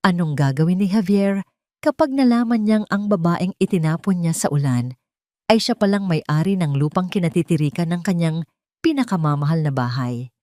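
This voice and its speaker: A woman with a Filipino accent narrating a dramatic scripted story in the first person, solemn and deliberate. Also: on the low side, animated, mellow, dry recording, clean studio sound.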